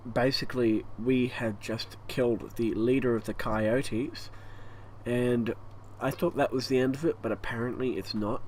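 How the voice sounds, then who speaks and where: Hoarse Australian man, fan humming. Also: mid-range voice, steady tone, darkish tone, low-quality recording. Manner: rambling, breathy, pauses often for breath. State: croaky, hoarse voice from allergies. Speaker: YouTube gaming channel host. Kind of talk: casual channel update monologue. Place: hot home room with a fan running. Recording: faint fan noise behind.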